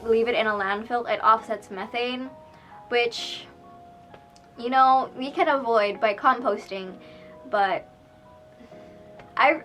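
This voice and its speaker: A young woman with a voice a little on the high side chats informally to her camera as a vlogger. She speaks in a confessional, conversational way from a room at home, with soft music playing underneath. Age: young adult. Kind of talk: casual confessional chat to camera. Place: small room at home, indoors. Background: soft background music.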